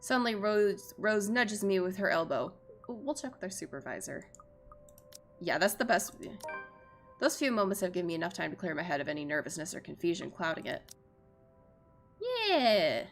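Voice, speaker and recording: A woman streams a visual novel, reading it aloud with very animated intonation. Her voice sits a little low with some fry in it. She drops in chatty, self-mocking asides, and music plays faintly underneath. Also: normal speed. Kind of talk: reading game narration aloud with chatty reactions. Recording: music behind the voice. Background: game music.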